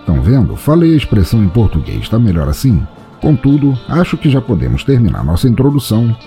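A man's voice: mid-range voice, wildly swooping pitch, brisk, warm tone, soft background music. A Brazilian man hosting and narrating a podcast from a script, with a wry, theatrical manner.

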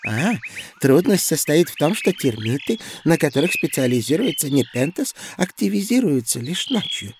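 Casting: keen field botanist, fairly high voice, emphatic explainer for children's audio play, clear jungle noise behind. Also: male voice, sing-song, normal speed. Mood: explanatory. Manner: expository, emphatic. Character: enthusiastic male botanist explaining carnivorous plants. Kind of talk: children's educational audio drama. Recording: noisy jungle backdrop of birds, frogs.

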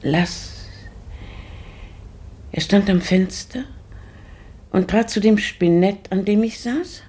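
An actress playing a pious widowed lady in a German radio play, brooding and searching her memory with halting, formal diction and a breathy voice. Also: medium pitch, mellow. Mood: searching, anguished.

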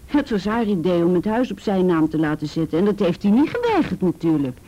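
A woman's voice: lowish voice, big pitch swings, fairly quick, mellow, highs cut off. An actress playing a frightened teenage girl in a Dutch radio play, stammering and repeating words as she recounts painful memories. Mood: hesitant, wistful.

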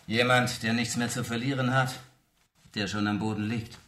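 A man's voice: mid-range voice, level tone, normal speed, a bit bright, close to an everyday voice. An actor playing a desperate, out-of-work literary man in a radio play, bitter and intense.

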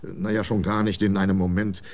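Ein Schauspieler spielt einen älteren, wichtigtuerischen Fernsehchef, der weitschweifig redet. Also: male voice, sits low, little pitch movement, a little fast, darkish tone. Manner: self-important, long-winded. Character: pompous older male TV executive, old republican.

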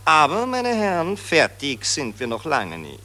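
A pompous old-school Austrian general in a satirical radio play. His speech rambles and repeats itself complacently, in an Austrian accent, with pitch that swings widely from phrase to phrase. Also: wildly swooping pitch, unhurried, pushed and bright, full character voice. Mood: self-satisfied.

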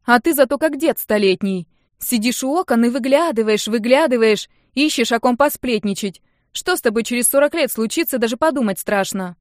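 A woman's voice: on the high side, lively intonation, brisk, few pauses, no room sound, clean studio sound, voice pushed up, close to an everyday voice. A woman voicing an indignant, combative young woman who quarrels with her family in exasperated exclamations, in an audiobook. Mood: taunting.

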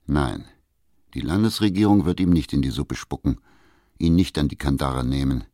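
A male narrator reading a radio drama in a measured, sober way. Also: a bass voice, mellow, dry recording, clean studio sound. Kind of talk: scripted narration.

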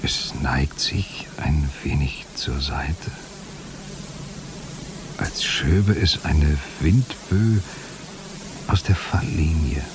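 An actor voicing an entranced man in a radio play monologue, halting, hushed and poetic, as if in a trance. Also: extremely low pitch, full character voice. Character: entranced man watching time stand nearly still. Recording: clear hissing noise behind voice.

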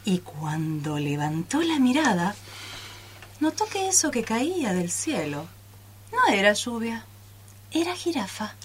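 Animated Argentine woman telling a story. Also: fairly high pitch, unhurried, slight background noise. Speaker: radio drama narrator. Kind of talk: expressive storybook narration.

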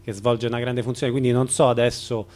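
A man hosting an informal radio interview, speaking in measured, hesitant phrases that circle toward the point. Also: mid-range voice, flat delivery, a little mellow. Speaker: radio host.

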